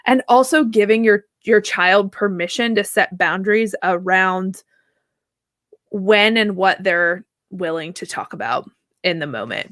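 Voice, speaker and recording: A woman in her thirties, a therapist guest answering at length in a casual, animated way, laughing easily and often checking in with "right?". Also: medium pitch, dry recording, studio quality. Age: 30s. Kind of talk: conversational interview answer.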